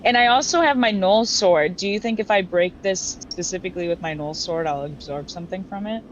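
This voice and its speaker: A woman's voice: on the low side, fairly quick. A woman chatting casually as a player in a tabletop game. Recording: muffled audio with audible background noise.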